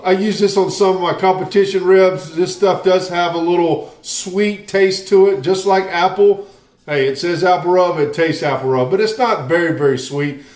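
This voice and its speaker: A man's voice: fairly high pitch, reverberant. A man with a Southern American accent hosting a casual home barbecue tutorial, easygoing and folksy as he talks straight to viewers. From Southern United States. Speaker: barbecue channel host. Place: home kitchen, then outdoor grill area.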